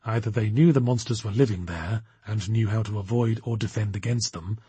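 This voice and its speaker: A man voicing a cool, unflappable female occult detective in an audiobook, speaking in a measured, bored way. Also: mid-range voice, steady tone, a little mellow, husky, no room sound.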